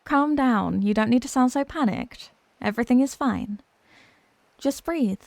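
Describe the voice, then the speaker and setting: A woman's voice: a little high, lively intonation, measured pace, gravelly, a little mellow, no room sound. A woman playing an obsessive, haughty young mermaid princess in a roleplay ASMR drama, sweetly smug and condescending. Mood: smugly reassuring.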